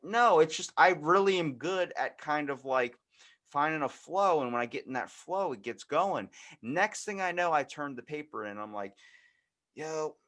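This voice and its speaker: A young American man chatting casually, rambling through anecdotes and acting out the quoted bits as he goes. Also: mid-range voice. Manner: rambling, animated retelling with acted-out quotes. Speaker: podcast host. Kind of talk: casual storytelling chat.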